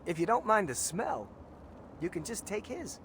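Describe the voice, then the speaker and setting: An actor playing a timid, grateful captive slave in a video game, earnest and full of disbelief. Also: male voice, high-pitched, sing-song, moderate pace, fairly bright tone, slight background noise. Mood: amazed, grateful. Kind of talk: video game character dialogue.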